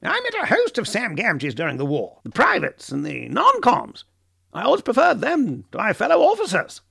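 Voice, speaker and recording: A man voicing a middle-aged professor who speaks softly in a rapid rush of words, gravelly and earnest. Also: high-pitched, huge pitch swings, dry recording, studio quality, voice pushed up, exaggerated voice. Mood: solemn.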